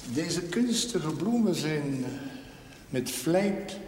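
Slow, pompous parish priest, echoing hall. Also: male voice, on the high side, lively intonation, a little mellow. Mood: stern, disapproving. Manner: formal, pompous, ornate wording with French phrases. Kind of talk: television period drama acting. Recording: echoey hall, long reverb, faint noise.

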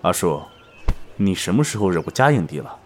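A loyal male pal in an audio drama. His voice is low, and he talks bluntly and casually with familiar slang. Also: big pitch swings, a little fast. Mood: indignant.

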